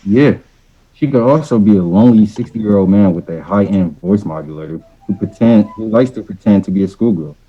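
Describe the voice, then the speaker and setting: A young man playing a crude, deadpan, wisecracking gamer friend in an amateur romantic comedy audio drama. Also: lowish voice, brisk, husky, highs cut off. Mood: mocking.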